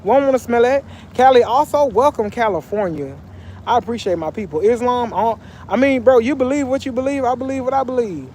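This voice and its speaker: A man's voice: very high voice, fairly quick, a little mellow, a little hiss or hum. A young man from Texas chatting casually on a phone livestream by a pool, reading out comments in a loose, slangy way. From Texas, USA. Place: outdoors by a pool.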